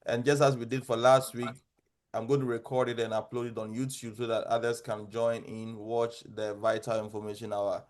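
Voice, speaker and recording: Ghanaian man moderating a group meeting. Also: mid-range voice. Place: online group call.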